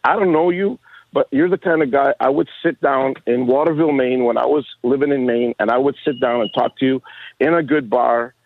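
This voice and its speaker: A man in his sixties phones in to a radio show. He rambles in a chummy, halting, casual way at an ordinary pitch. The line is slightly dull and dry, with little room sound. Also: no room sound. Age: 60s. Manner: halting, chummy, says "bro" often. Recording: dull band-limited call-in line.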